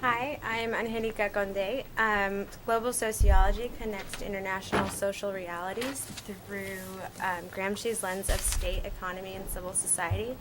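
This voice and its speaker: Woman, student, monotone prepared statement, noisy recording. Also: medium pitch, moderate pace, few pauses.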